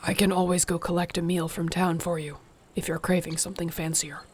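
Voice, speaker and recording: A woman playing a protective, devoted orc warrior girlfriend in an intimate audio roleplay, speaking softly, breathily and earnestly. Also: lowish voice, little pitch movement, brisk, husky. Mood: caring, firm.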